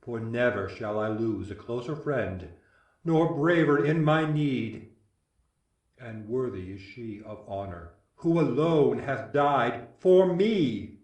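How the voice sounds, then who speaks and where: A man's voice: medium pitch, lively intonation, takes it slowly, a little mellow. A man playing a grieving, commanding widowed Greek king in verse drama, declaiming formally. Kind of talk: classical verse drama reading. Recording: roomy echo, distant microphone.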